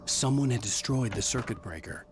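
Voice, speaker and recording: A man's voice: mid-range voice, lively intonation, moderate pace, natural voice. A man playing a troubled thriller writer, narrating his ordeal in the past tense in a horror game. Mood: grim. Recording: loud music behind.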